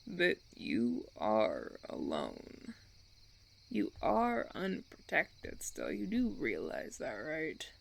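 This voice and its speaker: A man playing a suave, menacing vampire recruiter in an audio roleplay, speaking deliberately with theatrical, patronizing persuasion. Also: mid-range voice, huge pitch swings, vocal fry, pitched below the performer's own voice, exaggerated voice. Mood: ominous concern. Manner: theatrical, deliberate, silky persuasion with veiled threats. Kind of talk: audio roleplay, ASMR monologue.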